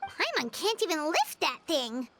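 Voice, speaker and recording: Squeaky, very high-pitched little fairy girl. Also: unhurried, music in the background, full character voice. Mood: skeptical, plucky. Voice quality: squeaky, childlike. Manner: refers to self in third person, expressive. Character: small excitable floating fairy companion, childlike girl. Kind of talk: animated video game voice acting.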